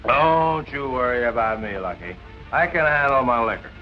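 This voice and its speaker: An actor playing a drunken, amiable, stubborn nightclub regular in a 1940s film, rambling and repeating himself. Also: male voice, mid-range voice, lively intonation, normal speed, lo-fi sound, close to an everyday voice. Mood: tipsy, cheerful. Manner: tipsy, rambling, repetitive. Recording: vintage 1940s film soundtrack, faint music.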